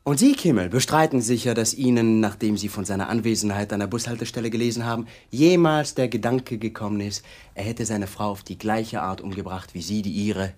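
An actor playing an accusatory young police detective in a German radio drama, mock-polite and insinuating. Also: male voice, lowish voice, brisk, darkish tone, close to an everyday voice. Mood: accusing.

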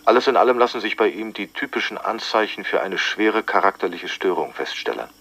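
An actor playing a sober male psychiatrist who gives a formal assessment in a measured, matter-of-fact way. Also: lowish voice, a bit bright. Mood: sober, clinical. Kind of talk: formal expert report in radio drama.

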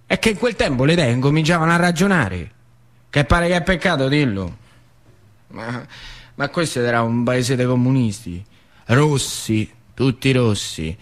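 A man with a central Italian accent from northern Lazio, an actor telling a story as a colloquial, expressive dramatic monologue full of repetitions. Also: mid-range voice. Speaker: actor and narrator. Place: radio theatre broadcast. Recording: music behind the voice.

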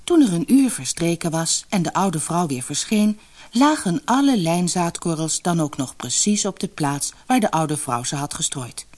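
A woman narrating a fairy tale audiobook in an expressive, measured, dramatic storybook style. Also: lowish voice.

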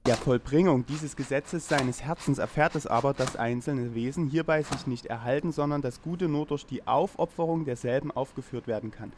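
A man's voice: mid-range voice, moderate pace, hardly any pauses, noisy background, lightly acted. A man playing a pompous lecturer, reading dense philosophy aloud in a formal, long-winded way. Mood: solemn. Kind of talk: solemn read-aloud lecture in amateur audio play.

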